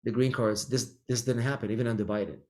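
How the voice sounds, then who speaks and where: A man's voice: mid-range voice, fairly even intonation, quick pace, a little mellow, slight background noise. A middle-aged man from Israel, an immigration lawyer, answering interview questions thoughtfully with frequent ums and restarts. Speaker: immigration attorney, guest.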